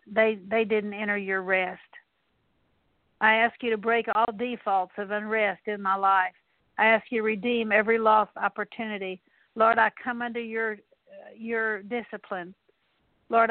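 A woman's voice: medium pitch, no room sound. A woman leading a spoken prayer of repentance, earnestly repeating one confession after another. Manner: earnest, repetitive litany of confessions. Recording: tinny phone-like line, dry.

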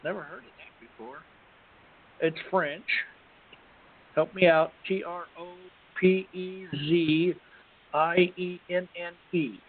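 Through a narrow, phone-like signal comes a man with a fairly high voice, chatting as a radio host. He rambles in a halting, casual way and pokes fun at himself. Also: fairly even intonation. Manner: halting, rambling, self-deprecating. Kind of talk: casual chat. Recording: phone-like, band-limited web radio audio.

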